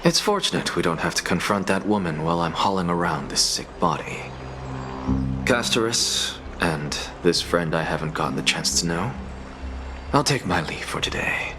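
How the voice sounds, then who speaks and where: A man's voice: sits low, expressive, normal speed. An actor playing a proud, weary male scholar in a fantasy game, with a dry, haughty, sarcastic delivery. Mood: weary, disdainful. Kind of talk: scripted video game acting. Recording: game music playing behind. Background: game music.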